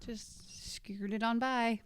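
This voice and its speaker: A woman's voice: a little high, steady tone, very slow, clean tone, dry recording. A woman in her thirties co-hosting a podcast, chatting casually and telling rambling stories. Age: 30s. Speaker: podcast co-host. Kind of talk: casual chat.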